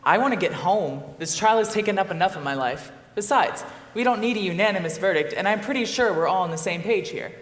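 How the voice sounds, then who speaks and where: A man's voice: high-pitched, animated, brisk, few pauses, a bit bright. Guy acting pushy sarcastic jury boss who wants out, stage play, roomy hall sound. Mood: impatient. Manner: brusque, sarcastic. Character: impatient, dismissive jury leader eager to leave. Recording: echoey hall stage recording.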